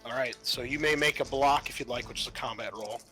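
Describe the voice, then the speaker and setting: Bright-voiced man running a tabletop game. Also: medium pitch, soft background music. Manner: halting, improvised narration with many fillers. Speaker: game master. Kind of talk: narrating a tabletop roleplaying game.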